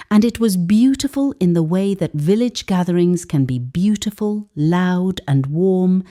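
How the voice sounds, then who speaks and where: Slow, expressive woman storyteller with mellow voice. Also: fairly low pitch. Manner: measured, deliberate, expressive, with weighted pauses. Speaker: storyteller, narrator. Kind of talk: dramatic scripted folktale narration.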